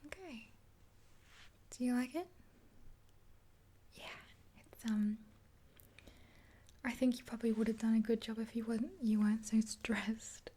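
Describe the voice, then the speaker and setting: Softly whispering young woman, ASMR. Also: fairly high pitch, fairly even intonation. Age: 20s. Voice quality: breathy, unvoiced whisper. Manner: gentle, unhurried, reassuring. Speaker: ASMR performer playing a makeup helper. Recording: hissy close-up with clicks.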